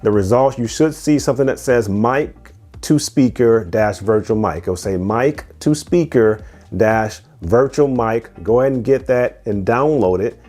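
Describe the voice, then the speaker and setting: A man's voice: mid-range voice, unhurried, a little mellow, soft background music. A man giving a casual, conversational product review as host, explaining things step by step and repeating phrases as he goes.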